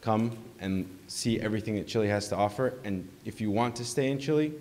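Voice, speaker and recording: Male audience questioner, low-pitched and halting, recorded in a reverberant conference hall. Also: darkish tone. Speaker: audience member asking a question. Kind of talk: extended question at a panel discussion.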